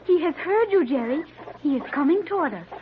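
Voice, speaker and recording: A woman's voice: high-pitched, expressive, fairly quick, phone-quality sound. An actress playing a naive, literal-minded girl in an old-time radio drama, speaking in formal, precise sentences. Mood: puzzled, earnest. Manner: stilted, formal, precise, without contractions. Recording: band-limited, noisy old radio recording.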